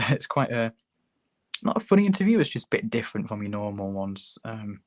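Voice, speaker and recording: A hoarse man with a low voice chats easily while he works at a hobby bench, walking viewers through a build step by step. His voice comes across close and dry, with no room sound around it. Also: fairly quick, dry recording. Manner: relaxed, chatty, talks while working with his hands. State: hoarse, sore throat. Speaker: host demonstrating a model kit build. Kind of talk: casual livestream tutorial. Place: hobby workbench, filmed from overhead.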